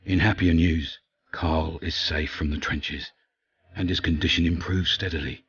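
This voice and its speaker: A man playing a tender, grieving soldier reading out his letter in a video game, with a husky voice. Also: a bass voice, fairly even intonation, measured pace, fairly bright tone, exaggerated voice. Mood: tender, sorrowful. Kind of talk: letter read as game voice-over. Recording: dull, muffled sound.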